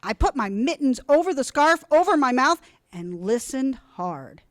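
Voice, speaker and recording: A woman reading a children's storybook aloud in an expressive, deliberate, dramatic way. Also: high-pitched, no room sound, studio quality. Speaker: storybook reader.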